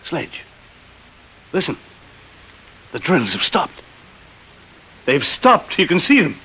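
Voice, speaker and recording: A man's voice: on the high side, wildly swooping pitch, slow, sharp tone, slightly rough, heavily acted, rough recording. An actor playing an anxious, hesitant ordinary man in a 1950s radio drama, speaking with nervous, urgent delivery. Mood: urgent, excited. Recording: hissy vintage 1950 radio recording.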